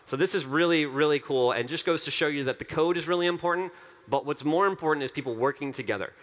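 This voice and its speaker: A man's voice: fairly high pitch, fast talker, fairly bright tone. A man in his thirties giving an earnest, enthusiastic conference keynote on a large stage, speaking into a headset mic and repeating phrases for emphasis. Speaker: company co-founder giving a keynote.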